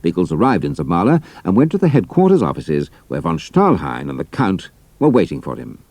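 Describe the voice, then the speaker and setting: A man's voice: low voice, wildly swooping pitch, brisk, darkish tone, dry recording. A man narrating a scripted audio drama as a storyteller.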